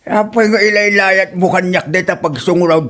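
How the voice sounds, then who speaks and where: A man's voice: sits high, moderate pace. A man playing a grumbling, defensive older father and husband in a comedy drama, with an Ilocano accent and a complaining manner. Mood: irritated. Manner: complaining, excitable. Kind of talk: radio-style comedy drama acting.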